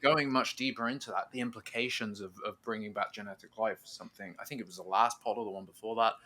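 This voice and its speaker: Bright-voiced man in casual podcast chat. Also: lowish voice, steady tone, fast talker, slight background noise. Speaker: podcast host. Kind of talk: casual film fan discussion.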